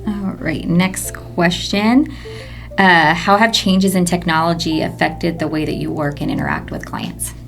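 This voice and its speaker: A woman's voice: lowish voice, lively intonation, unhurried, creaky, a bit bright. A woman hosting a podcast, casually putting questions to a guest and chiming in with short replies. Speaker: podcast host. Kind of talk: casual interviewing. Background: music in the background.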